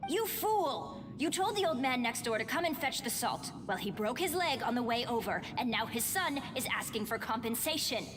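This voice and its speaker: Woman acting a sharp-tongued scolding wife, reverberant. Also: a little high, lively intonation, normal speed, hardly any pauses, music in the background, natural voice. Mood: angry, exasperated. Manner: scolding, exasperated. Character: furious, sharp-tongued wife berating her foolish old man. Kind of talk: video game voice acting. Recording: noisy ambience with long reverb.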